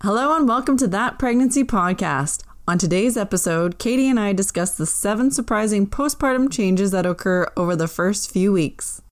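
A woman's voice: medium pitch, animated, normal speed, hardly any pauses. A woman co-hosting a casual pregnancy podcast, chatting with many fillers and restarts. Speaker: podcast co-host.

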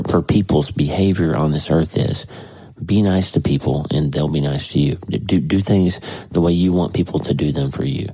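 A man hosting a podcast with a casual, conversational devotional talk, his voice congested and husky. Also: deep voice, warm tone, no room sound, rough recording. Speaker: podcast host.

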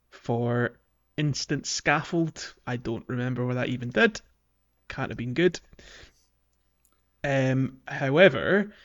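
A man's voice: medium pitch, lo-fi sound. A man co-hosting a casual podcast about a video game, talking in a loose, chatty way with many fillers and restarts. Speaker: podcast co-host.

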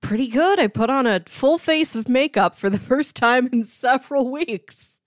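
A woman's voice: high voice, normal speed, no room sound, clean studio sound. A woman in her thirties co-hosting a podcast, talking casually about movies. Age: 30s. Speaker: podcast co-host.

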